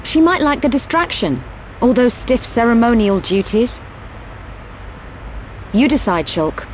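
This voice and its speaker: A woman's voice: on the high side, very animated, unhurried, a little mellow, slight background noise. An actress playing a kind, direct young female adventurer in a video game. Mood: bright, friendly.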